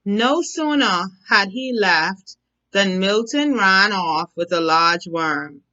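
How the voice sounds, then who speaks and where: A woman narrating a children's bedtime story as a storyteller, deliberate and expressive, on a slightly dull recording. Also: mid-range voice. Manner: measured, deliberate, expressive reading. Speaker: storyteller, teacher.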